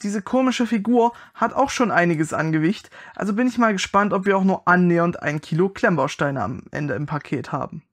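A man's voice: sits high, fairly bright tone. Wry man doing unboxing commentary. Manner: wry, critical. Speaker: toy unboxing reviewer.

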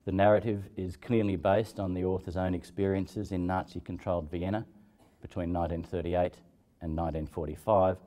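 A man's voice: sits low, level tone, a little fast, mellow. An older man delivering a scripted formal lecture in a precise, serious way. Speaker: translator. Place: indoors, dark backdrop.

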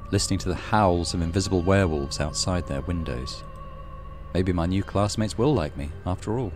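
Deep-voiced man narrating horror over music. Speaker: gaming channel host reading visual novel. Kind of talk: dramatic scripted horror narration.

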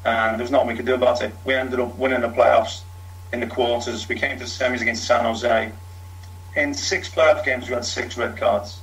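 A man's voice: medium pitch, fairly even intonation, brisk, fairly bright tone, low-quality recording. A middle-aged man with a Liverpool accent, a former footballer telling his story frankly in a long interview answer. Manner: frank, talks in long runs with fillers. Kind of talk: candid storytelling.

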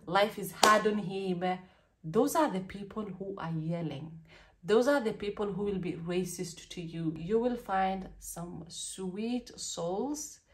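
A young woman in her twenties with a Kenyan accent, chatting candidly and animatedly in a storytime vlog recorded in a small bedroom. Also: medium pitch. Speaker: vlogger.

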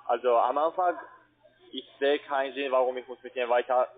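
A man's voice: mid-range voice, fairly even intonation, brisk. A man with a foreign accent and non-native phrasing, working as a call centre agent and arguing with a customer. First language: not German. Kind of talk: phone sales conversation. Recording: band-limited phone call audio.

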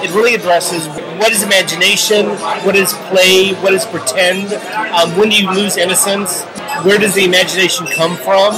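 A man's voice: sits high, fairly even intonation, moderate pace, a loud crowd, low-quality recording. A young man co-hosting a casual chat about a show, playful and chatty, filmed up close at a restaurant table. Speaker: co-host. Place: busy restaurant bar at night. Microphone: camera held close at the table. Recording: loud bar music behind.

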